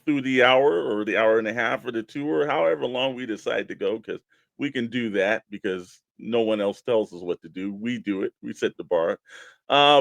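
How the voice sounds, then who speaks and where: A man's voice: medium pitch, no room sound. A man co-hosting a toy collecting radio show in casual chat, with informal, laid-back buddy banter.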